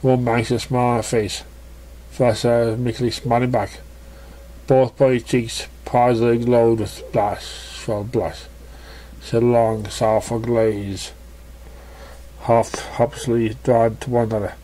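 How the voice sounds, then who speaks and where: A man narrating a fan fiction story as an amateur reader, with halting, stumbling delivery. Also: medium pitch, little pitch movement, dark tone, rough recording.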